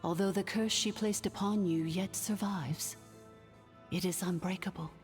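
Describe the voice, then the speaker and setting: A woman voicing a solemn, ancient artificial intelligence ruler in a video game, speaking formally and gravely in a cavernous reverb. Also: fairly low pitch, steady tone, close to an everyday voice. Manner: formal, measured, grave exposition. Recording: long cavernous reverb, music underneath.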